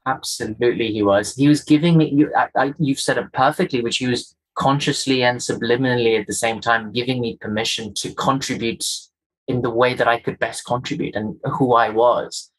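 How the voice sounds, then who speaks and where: A man from Kenya with a softened Kenyan accent, a guest telling his story in an interview with earnest, emphatic repetitions. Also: medium pitch, fairly even intonation, fairly quick, slightly rough, poor audio.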